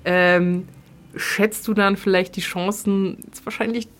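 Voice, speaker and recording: A woman's voice: medium pitch, fairly even intonation, measured pace, fairly bright tone. A woman hosting a podcast, asking questions in a chatty, informal and affirming way. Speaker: podcast host, interviewer. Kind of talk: casual conversational interview.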